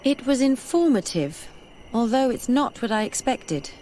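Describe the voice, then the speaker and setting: A woman's voice: on the high side, animated, measured pace, dark tone, a little hiss or hum. A woman acting a curious, determined, skeptical scholar in a video game, speaking thoughtfully and articulately.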